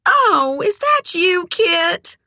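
A brassy, bawdy woman of the world, as an audiobook narrator puts her on, pitched high and close in a dry recording. She sweet-talks with sugary endearments and speaks frankly, teasing and amused. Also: very animated, a little slow, sharp tone, no echo, exaggerated voice. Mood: extra sweet. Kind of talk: audiobook character dialogue.

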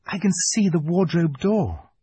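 Guy putting on an eager little girl voice, high and bouncy, kinda husky. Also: lively intonation, measured pace, mellow, exaggerated voice. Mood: gentle. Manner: eager, breathless insistence. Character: earnest excitable little girl.

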